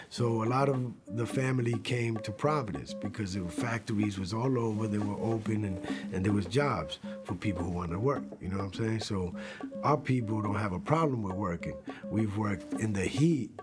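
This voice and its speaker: A lively man from Rhode Island talks through a documentary interview in a conversational, animated way. His voice sits at a middle pitch. Music plays underneath. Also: moderate pace. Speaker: interviewee, former rap group member.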